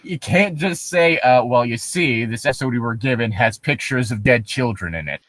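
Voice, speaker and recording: A man's voice: mid-range voice, normal speed, a bit bright, no room sound. A man co-hosting a podcast in a casual, comedic way, picking things apart with incredulous, profane commentary. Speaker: podcast co-host reading and commenting on a horror story.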